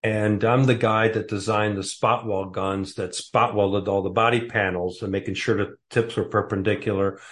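A man's voice: on the low side, fairly even intonation, moderate pace, few pauses. A man in his sixties from the Detroit area, a guest author answering interview questions casually and hesitantly, with many fillers. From Metro Detroit area, USA.

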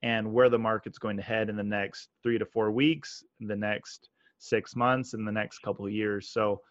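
A man's voice: fairly low pitch, little pitch movement, normal speed, no room sound, highs cut off. A man hosting a podcast, introducing the show and interviewing a guest in a friendly, upbeat way. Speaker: podcast host.

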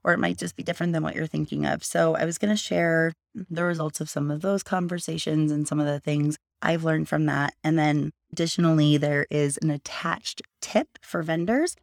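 A sleepy, hoarse woman in her thirties hosting a podcast, rambling in a chatty way and circling back to her point. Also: deep voice, no room sound, clean studio sound. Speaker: podcast host. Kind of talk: casual solo podcast talk.

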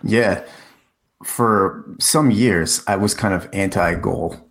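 A man's voice: sits low, takes it slowly, vocal fry. A man in his forties who grew up in the United States, a guest in a casual interview, talking reflectively with frequent restarts and fillers. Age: 40s. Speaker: guest, corporate communication coach. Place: in the Czech Republic.

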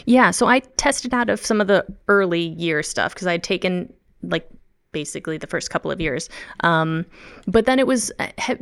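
A middle-aged woman talks casually in an interview. Her voice sits on the low side with a gravelly, fry-tinged edge. She laughs often and trips into restarts and ums as she explains her work. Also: lively intonation, moderate pace. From Midwest United States. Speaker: co-host, language researcher.

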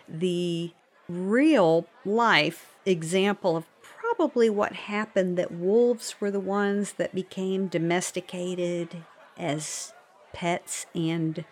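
A woman talking casually and explaining things as a guest on a show. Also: fairly low pitch. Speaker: guest commentator on the book.

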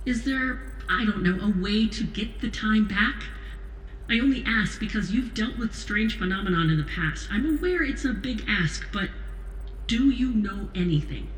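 A woman playing a stern, commanding president in an audio drama, speaking in a measured, authoritative way. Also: medium pitch, animated, close to an everyday voice. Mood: probing. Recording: roomy echo, faint noise.

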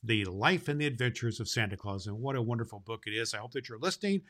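Bright, forceful man reading storybooks. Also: mid-range voice, expressive, quick pace. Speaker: host reading a Christmas storybook to children. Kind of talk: storybook read aloud with informal host talk.

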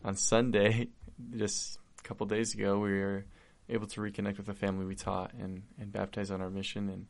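Young man guest, earnest and heartfelt, low flat gravelly voice. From Argentina. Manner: earnest, heartfelt, halting with restarts. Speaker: podcast guest. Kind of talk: casual conversation.

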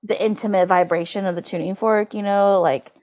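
A woman's voice: mid-range voice, fairly even intonation, brisk, a little mellow. A woman co-hosting a podcast, chatting casually and playfully in loose comedic riffs. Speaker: podcast co-host. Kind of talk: casual comedic chat about horoscopes.

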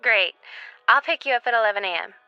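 A woman's voice: a little high, expressive, fast talker, clean tone, highs cut off, soft background music. An actress playing a sassy, affectionate woman friend with casual, slangy speech, in a naturalistic audio drama. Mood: playful, sassy.

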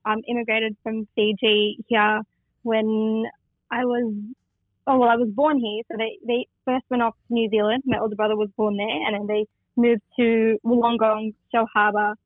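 A woman's voice: fairly high pitch, flat delivery, normal speed, dry recording. A young woman from Western Sydney, a poet speaking as a guest over the phone, enthusiastic and reflective. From Western Sydney, Australia. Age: young adult. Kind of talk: phone interview. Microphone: phone line. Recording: tinny dry phone line.

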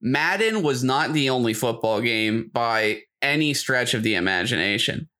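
A man with a middle-pitched voice chats as a podcast co-host. He sounds opinionated and informal, using slang and commenting on himself as he goes. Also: animated, normal speed, bright, forceful tone. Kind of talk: casual chat about video games and media.

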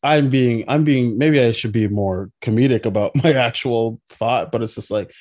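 A man with a Midwestern accent hosting a podcast, chatting casually in a teasing, deadpan way. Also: mid-range voice, moderate pace. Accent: Midwestern American. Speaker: podcast host.

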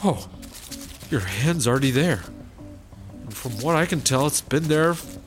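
A man's voice: mid-range voice, animated, a little slow, soft background music, lightly acted. A man playing an earnest, hesitant squad partner in a sci-fi comedy audio drama. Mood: flustered, hesitant.

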